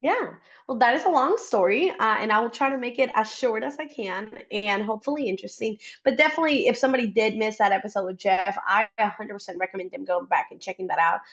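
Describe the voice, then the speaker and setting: A woman's voice: mid-range voice, fast, few pauses, a bit bright, highs cut off. Chatty young woman. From Costa Rica. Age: young adult. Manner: chatty and upbeat. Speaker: guest, startup support worker. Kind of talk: interview conversation.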